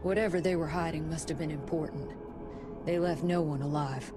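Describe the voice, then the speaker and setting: A woman's voice: low-pitched, steady tone, a little fast, over music, close to an everyday voice. A woman playing a composed, dry-witted agent in a video game, cool and pointed in her delivery. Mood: grave. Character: composed, dry-witted female agency colleague.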